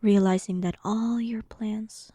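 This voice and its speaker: Demon queen for roleplay audio , female performer: dominant, sarcastic, unhurried, dark soft tone, very close and dry. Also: medium pitch, fairly even intonation, dry recording. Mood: sarcastic, amused. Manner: slow, teasing, condescending. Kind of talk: scripted roleplay audio drama.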